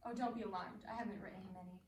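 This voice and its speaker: An actress playing a worldly, amused stage actress in a play, speaking with poised, gently mocking wit. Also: female voice, medium pitch, fairly even intonation, very fast, natural voice. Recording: roomy, distant mic, faint noise.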